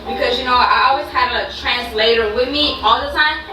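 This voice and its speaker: High-voiced young woman, echoey distant playback. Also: a little fast, background noise. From Puerto Rico, then Alabama from age ten. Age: 20s. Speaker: YouTuber, documentary subject. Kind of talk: personal vlog storytelling.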